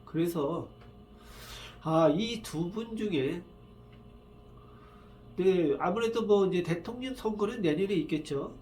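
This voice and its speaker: A man with a cold and a roughened voice, tired from just waking up, talking in a rambling, halting way with many fillers as he gives fortune readings in a casual solo video. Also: high voice, expressive, a little mellow, slight background noise. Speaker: saju fortune reader.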